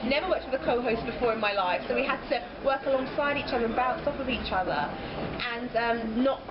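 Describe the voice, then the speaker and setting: A woman's voice: on the high side, fairly quick, very noisy. A young British woman giving casual interview answers in a chatty, emphatic way, repeating words for stress. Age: young adult. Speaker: presenter and interviewee. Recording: muffled lo-fi audio under loud music.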